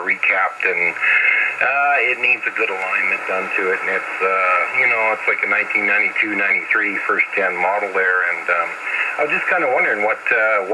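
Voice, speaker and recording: Loud radio noise surrounds a man transmitting on CB. His voice sits a little low, and he talks in a folksy, easygoing, chatty way, like one hobby operator to another. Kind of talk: casual CB radio chat. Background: loud radio static and noise.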